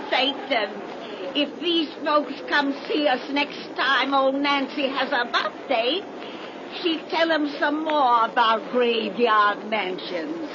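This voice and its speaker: Cackling old witch, slow, old radio. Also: high voice. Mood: gleeful, sinister. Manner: cackling, folksy, sinister. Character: gleeful cackling old witch storyteller with her cat. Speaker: horror show hostess. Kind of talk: 1930s radio horror hosting. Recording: noisy, dull 1930s radio recording.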